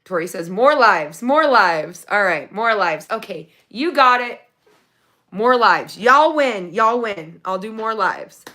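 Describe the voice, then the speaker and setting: Lady doing a phone livestream, chatty, kinda raspy from talking forever, gets excited over gifts. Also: medium pitch, slow pace. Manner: chatty, excited when thanking viewers for gifts. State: losing her voice, getting raspy. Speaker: livestream host. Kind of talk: casual livestream chat reading comments.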